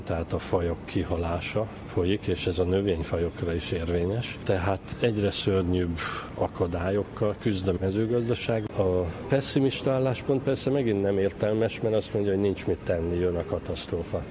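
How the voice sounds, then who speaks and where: Man, deep voice, emphatic warning manner, noisy traffic background. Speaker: expert interviewee. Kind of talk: argumentative spoken interview.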